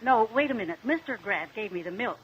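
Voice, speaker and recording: A woman's voice: fairly high pitch, lively intonation, brisk, breathy edge, muffled recording. An American woman playing a plainspoken neighbor housewife who gives curt, emphatic testimony in a mid-century radio drama. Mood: matter-of-fact. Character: blunt, plainspoken neighbor housewife and mother on the stand.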